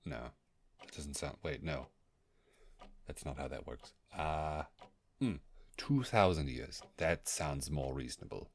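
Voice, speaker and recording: A man's voice: a bass voice, slow pace, pauses often, heavily acted. A man playing an ancient, bookish creature in a roleplay audio, wordy and pompous but awkwardly hesitant and eager to impress. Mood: flustered, musing. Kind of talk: immersive roleplay audio monologue.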